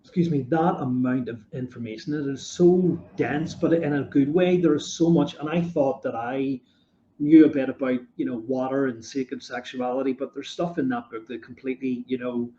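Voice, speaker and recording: Mellow-voiced male podcast host, echoey. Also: medium pitch, steady tone, normal speed, slight background noise. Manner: enthusiastic, rambling with fillers. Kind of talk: podcast interview. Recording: dull roomy sound.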